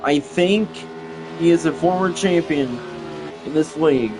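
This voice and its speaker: A young male commentator calling a simulated stock car race play-by-play, halting and often repeating himself, with engine noise and music behind him. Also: on the high side. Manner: halting, repeats phrases, restarts.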